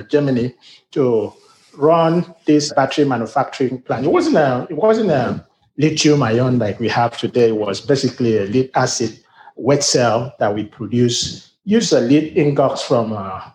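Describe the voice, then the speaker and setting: A man's voice: medium pitch, a little mellow, echoey room, rough recording. A middle-aged man from Nigeria with a Nigerian accent, a university sustainability director giving earnest, formal interview answers with frequent restarts.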